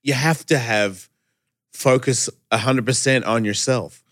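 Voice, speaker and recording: A man's voice: medium pitch, measured pace, a bit bright, no echo, studio quality. A man hosting a podcast, joking and teasing his guest with casual swearing. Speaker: podcast host. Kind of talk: casual banter.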